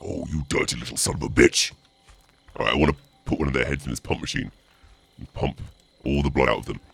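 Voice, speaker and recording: A man commentating on a game in a theatrical, mock-menacing, sweary way, his voice pitched down by a voice changer. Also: quick pace, fairly bright tone, no room sound. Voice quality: deep, gravelly, through a voice changer. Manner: theatrical mock-menacing taunts mixed with muttering. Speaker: streamer playing a game as the killer.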